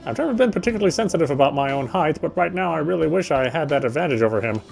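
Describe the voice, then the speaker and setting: A man's voice: on the high side, animated, rapid-fire, vocal fry. A man streaming a game, reading visual novel narration aloud in a dramatic way. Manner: dramatic reading with offhand asides. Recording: game music behind the voice.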